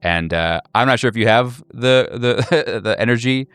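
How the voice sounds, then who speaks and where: Male game master for horror roleplay, smooth and resonant, middling pitch, halting delivery, very dry recording. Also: a bit bright, dry recording. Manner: deliberate, halting pauses. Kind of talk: improvised horror roleplay narration.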